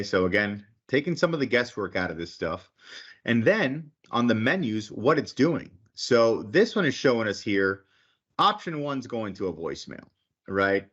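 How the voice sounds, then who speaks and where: A lower-voiced man walks viewers through software screens in a relaxed, conversational way. He leans on "okay" and "um" as he talks, and the webinar audio sounds dull and lo-fi. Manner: casual, frequent "okay" and "um" fillers. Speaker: product presenter. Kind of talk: webinar product walkthrough.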